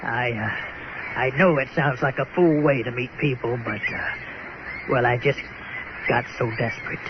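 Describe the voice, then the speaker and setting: An actor playing a lonely, sheepish, seedy garbage scow captain in a comic radio play, folksy and stammering. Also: male voice, mid-range voice, measured pace, lightly acted. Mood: apologetic, lonely. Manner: stammering, hemming and hawing, folksy. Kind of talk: comic audio drama acting in old-time radio manner. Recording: dull lo-fi radio sound, faint noise.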